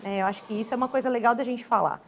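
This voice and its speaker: Brazilian woman, guest commentator, measured talk, slightly high voice. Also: steady tone. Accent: Brazilian Portuguese. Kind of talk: TV studio panel discussion.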